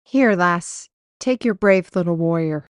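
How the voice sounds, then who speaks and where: A woman playing a spirited, caring adventurer in an audio drama, brisk, with salty folksy oaths. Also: fairly low pitch, clean tone, natural voice. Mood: wry. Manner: colorful folksy oaths, addresses others as lad and lass. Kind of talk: audio roleplay drama acting.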